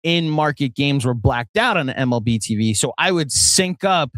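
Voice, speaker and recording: A man's voice: mid-range voice, lively intonation, moderate pace, a bit bright, dry recording, studio quality. An American man co-hosting a sports podcast, telling stories in a rambling, conversational way. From Virginia, United States. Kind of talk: casual sports chat.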